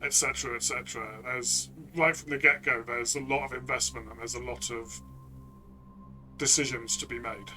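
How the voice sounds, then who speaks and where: A man's voice: mid-range voice, steady tone, moderate pace, bright, forceful tone, faint music behind, poor audio. A man co-hosting a review discussion in a casual, conversational style. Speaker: co-host and game reviewer.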